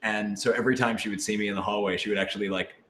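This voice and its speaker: A man's voice: lowish voice, steady tone, very fast, fairly bright tone. A young American man, a voice actor, chatting casually and telling stories in a wry, joking, rambling way. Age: young adult. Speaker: voice actor on a radio drama. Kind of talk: casual chat, storytelling. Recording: echoey room with audible noise.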